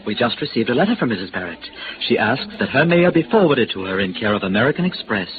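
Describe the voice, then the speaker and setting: An actor playing a snobbish, fussy, over-polite hotel manager in an old-time American radio drama. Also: male voice, medium pitch, sing-song, quick pace, close to an everyday voice. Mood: coy, wheedling. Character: prissy, snobbish, discreetly greedy male hotel manager. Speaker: actor in a radio detective serial. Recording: muffled, noisy vintage radio recording.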